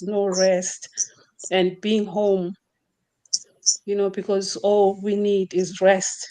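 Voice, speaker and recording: A woman from Zimbabwe introduces herself in a steady, even way. Her pitch stays flat across her sentences, and she speaks over a video call. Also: low-quality recording. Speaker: guest on live stream.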